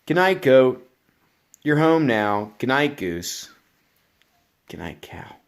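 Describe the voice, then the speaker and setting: A man voicing a friendly little blue truck in a bedtime storybook, gentle and welcoming. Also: medium pitch, sing-song, slow pace, clear, resonant tone. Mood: kind, soothing.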